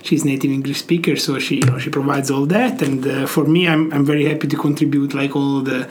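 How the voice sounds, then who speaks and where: A man's voice: medium pitch, fairly even intonation, a little fast, a little hiss or hum. A man from Italy, a podcast guest who hesitates and repeats words as he talks. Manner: hesitant, repeats words while finding them. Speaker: guest, father. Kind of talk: casual interview chat.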